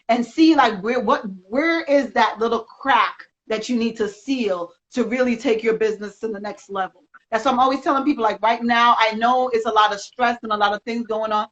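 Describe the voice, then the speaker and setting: A woman giving energetic, rambling encouragement in a casual live video chat, speaking as a magazine representative. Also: fairly high pitch. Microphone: video call. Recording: dull lo-fi sound.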